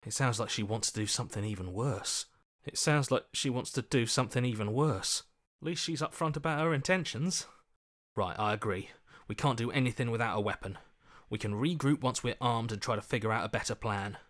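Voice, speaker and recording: A man acts out an earnest video game hero with a medium-pitched voice. He is anxious and questioning, pressing his points with plain-spoken urgency. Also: brisk, fairly bright tone, close to an everyday voice. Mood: worried, urgent. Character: earnest, anxious heroic inner voice, adult man. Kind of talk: video game character acting.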